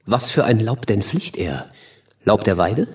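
An imperious prince-ruler with a low, husky voice. He declaims classical verse with sceptical authority, as in an audio stage drama. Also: big pitch swings, unhurried, darkish tone, distant mic, exaggerated voice. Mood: incredulous. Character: commanding, sceptical male sovereign lord.

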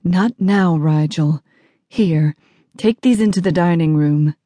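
A woman's voice: lowish voice, slow, warm tone, no room sound. Woman playing a composed, polite mother. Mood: hushed firmness. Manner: pleasant, composed. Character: polite, firm mother of a teenage boy. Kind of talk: audiobook character dialogue.

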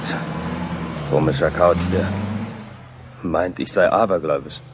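A man's voice: low voice, fairly even intonation, measured pace. A man playing a cheerful, superstitious taxi driver in a radio play, breezy and colloquial. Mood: puzzled, amused. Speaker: actor in an audio drama. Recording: noisy, with car and traffic sounds. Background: car engine and street ambience.

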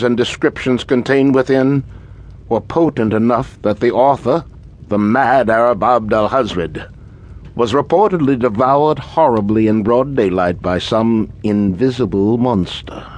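Radio drama occultist, played by a man: learned employer, secretive, measured, formal diction. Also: medium pitch, darkish tone, close to an everyday voice. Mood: scholarly, intent. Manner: formal, erudite, measured speech. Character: scholarly, secretive male occultist, nervous employer.

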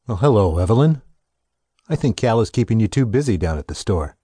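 Wry, genial, animated country gentleman. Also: on the low side, fairly quick, noisy background. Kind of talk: audio drama acting. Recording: music behind the voice.

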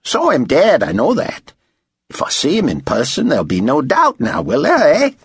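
Man doing an excitable, high-pitched Aussie chatterbox. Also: normal speed, breathy edge, no echo, clean studio sound, exaggerated voice. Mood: excited, awestruck. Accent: Australian. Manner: chatty, eager, folksy, tags questions with eh. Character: excitable, chatty Australian motor pool chief, awestruck and pushy. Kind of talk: audiobook character voice.

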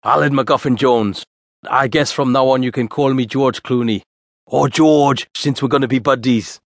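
A man acts out a brash Welsh celebrity double from an audiobook story, pitched up from the narration. He has a broad Welsh accent and a cheeky, matey way of chiming in. The sound is close and dry. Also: steady tone, normal speed, dry recording, natural voice. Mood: cheeky, chummy. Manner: chummy, slangy interjections. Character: cheeky male Welsh Clooney lookalike, chummy and brash.

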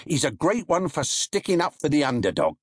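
A man's voice: fairly high pitch, sing-song, fairly quick, no room sound, clean studio sound, voice pushed up. A man voicing a know-it-all male fruit and vegetable seller who talks in a confiding, self-assured way.

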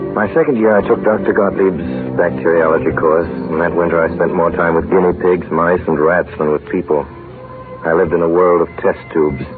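An actor playing an earnest, ambitious male scientist in a 1930s radio drama, solemn and measured, on a narrow old recording. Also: a bass voice. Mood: measured, wry. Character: earnest, ambitious male scientist recalling his student years. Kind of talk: 1930s radio drama acting, dramatic first-person narration.

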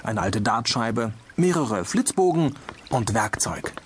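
Calm male storybook narrator. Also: medium pitch, slow pace. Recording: audio drama with clear noise behind.

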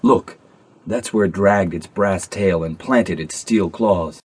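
A woman's voice: a bass voice, takes it slowly, a little mellow, husky, voice pushed down, heavily acted. A woman voicing a polite, practical young prince in a storybook, talking matter-of-factly.

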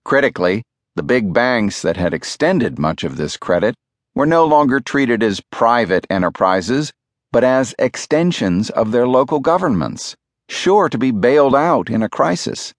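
Guy reading a nonfiction audiobook, medium pitch, really animated delivery, measured and clear, close mic no room sound. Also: no echo. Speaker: audiobook narrator. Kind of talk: scripted nonfiction audiobook narration. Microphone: close studio microphone.

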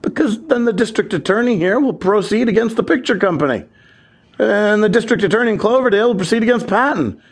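A man's voice: high-pitched, expressive, quick pace, breathy edge, exaggerated voice. An American actor playing a determined, indignant small-town businessman in a radio drama, earnest and formal. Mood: insistent, determined.

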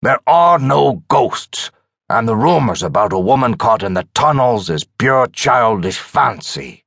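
A man voicing a stern, dismissive senior asylum doctor who speaks with even, clipped authority in an audiobook. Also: sits low, measured pace, husky, no room sound, clean studio sound, heavily acted. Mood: stern, final. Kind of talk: audiobook character dialogue.

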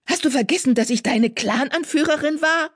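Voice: medium pitch, big pitch swings, a little slow, slightly rough, pitched above the performer's own voice, heavily acted. A narrator voicing a proud female cat, a former leader, indignant and defensive as she protests urgently.

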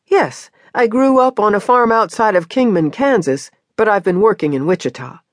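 A reader voicing an eager young American woman from the Midwest, speaking brightly and earnestly. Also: mid-range voice, expressive, normal speed, no echo, clean studio sound, voice pushed up, lightly acted.

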